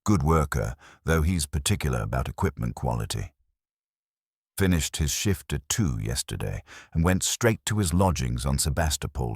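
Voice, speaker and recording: A man's voice: rumbling low, husky, dry recording, clean studio sound, heavily acted. A man voicing an observant, courteous council works foreman in a story, precise, deferential and formal.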